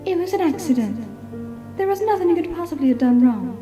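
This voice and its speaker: A woman voicing a gentle, sorrowful mother pony, tender and consoling in a dramatic fan fiction reading. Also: sits high, big pitch swings, fairly quick, over music.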